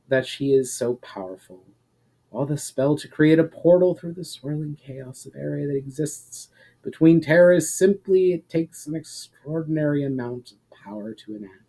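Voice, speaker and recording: A man voicing a wise, mysterious woman guide in a fantasy story, explaining in a formal, measured manner. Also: medium pitch, warm tone, natural voice, poor audio. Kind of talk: fantasy audiobook dialogue.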